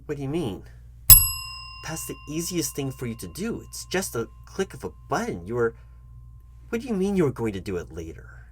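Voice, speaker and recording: A man playing a foolish, patronizing job interviewer in an ASMR comedy roleplay, speaking to the listener in a halting, repetitive, mock-earnest way. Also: medium pitch, big pitch swings, darkish tone, a little hiss or hum, close to an everyday voice. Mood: condescending, testing. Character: foolish, condescending male job interviewer toying with the candidate.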